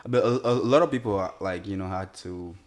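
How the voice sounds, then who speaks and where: A man hosting a podcast, chatting in a relaxed way and agreeing often with short replies. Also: low-pitched, fairly quick. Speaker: podcast host. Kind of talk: casual chat.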